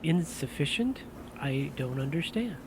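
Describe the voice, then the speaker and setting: A man's voice: a little high, expressive, unhurried, a little mellow, slight background noise, poor audio. A man playing a puzzled, wary husband and prospective client in an audio drama, speaking hesitantly and questioningly. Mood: hesitant, confused.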